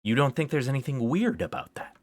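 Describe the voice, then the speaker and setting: A man's voice: sits low, normal speed, a bit bright, no room sound, clean studio sound. A man voicing an anxious, exasperated schoolgirl with an incredulous, insistent, questioning manner. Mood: agitated, incredulous.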